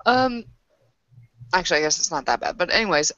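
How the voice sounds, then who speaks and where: A woman's voice: on the low side, animated, a little fast, bright, forceful tone, no room sound. A woman taking part in a tabletop roleplaying game, casually narrating her character in hesitant, piecemeal phrases. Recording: dry, slightly muffled voice-chat audio.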